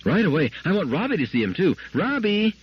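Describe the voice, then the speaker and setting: A man reading a story aloud, voicing a little girl who begs for her lost robot friend in a high, pleading voice. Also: very animated, fairly quick, breathy edge, lo-fi sound, exaggerated voice. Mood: eager.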